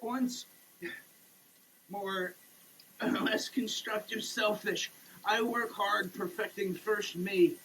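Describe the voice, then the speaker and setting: A man giving a dramatic, preachy reading with emphatic pauses, like a sermon. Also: high-pitched, steady tone, bright, forceful tone, slight background noise, rough recording. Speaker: sketch performer. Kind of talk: dramatic reading of a soap label, declaimed like a sermon. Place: shower, per the page.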